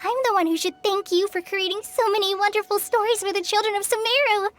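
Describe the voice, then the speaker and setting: A woman's voice: very high-pitched, steady tone, quick pace, a bit bright. A woman voicing a shy, earnest young trainee forest ranger in a video game who often stammers. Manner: timid, stammering.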